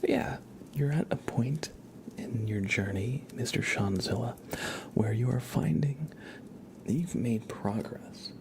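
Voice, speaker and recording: A soft-spoken man whispering gently and politely in a casual ASMR livestream, chatting with viewers and reading cards. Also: mid-range voice, slow, background noise.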